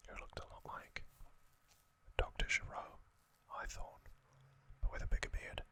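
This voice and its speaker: A man hosting an ASMR video, whispering a casual, opinionated fan recap with frequent pauses and ums. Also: pushed and bright. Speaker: ASMR host.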